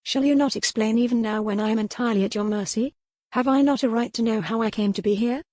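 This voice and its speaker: A woman's voice: a little high, quick pace, darkish tone, no room sound, clean studio sound, voice pushed up, natural voice. A woman voicing a proud, resolute young noblewoman in a romance audiobook, impassioned, with formal old-fashioned phrasing. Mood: challenging. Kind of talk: dramatized romance audiobook dialogue.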